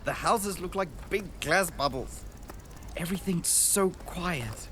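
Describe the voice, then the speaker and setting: A man playing an earnest, wonder-struck boy in a radio drama, speaking gently and descriptively. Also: high-pitched, expressive, slow pace, full character voice. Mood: hushed wonder. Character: earnest, wonder-struck boy recording a journey for his sister. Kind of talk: radio drama narration and dialogue. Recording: noisy outdoor ambience behind the voice.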